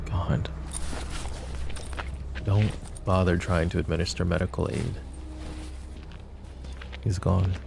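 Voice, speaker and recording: Male VA as a possessive mafia boss, very deep and gravelly, soft intimate delivery, faint music underneath. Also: steady tone, takes it slowly, long pauses, background noise, heavily acted. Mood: soothing, then grim. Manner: soft, intimate, addresses listener directly. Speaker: ASMR roleplay voice actor. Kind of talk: boyfriend ASMR roleplay.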